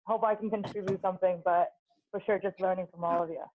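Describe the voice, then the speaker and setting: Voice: medium pitch, fairly even intonation, fast talker, a little hiss or hum. A student from Hong Kong introducing themselves on a video call, hesitant with many fillers. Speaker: student at a marine science school. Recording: tinny phone-like call audio.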